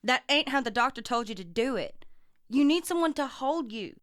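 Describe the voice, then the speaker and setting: A woman's voice: high voice, expressive, normal speed, dry recording, clean studio sound. A woman acting in a radio play as a bossy, caring, plainspoken figure with a blunt, scolding way of talking. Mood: exasperated.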